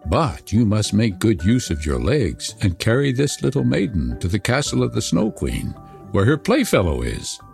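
A man's voice: fairly low pitch, wildly swooping pitch, normal speed, vocal fry, darkish tone, faint music behind, full character voice. A man voicing a wild, threatening little robber girl in a storybook reading, bossy and menacing. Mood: commanding.

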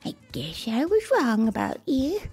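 A woman voicing a kind, plump old woman who speaks slowly in a high, sing-song, coaxing way. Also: wildly swooping pitch, creaky, soft background music, exaggerated voice. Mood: sweet coaxing.

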